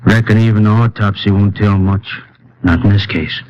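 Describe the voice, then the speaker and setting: A man's voice: fairly low pitch, steady tone, normal speed, lightly acted. A man playing a grim, terse, folksy rural sheriff in an old radio drama. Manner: terse, folksy drawling speech. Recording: dull, faintly noisy old radio recording.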